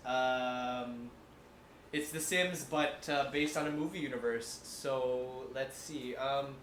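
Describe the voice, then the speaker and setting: A man's voice: mid-range voice, steady tone, slow pace, a bit bright. A man hosting a casual panel game with friends, playful and teasing, guiding the chat in an echoey room. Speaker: host. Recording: echoey room, faint background noise.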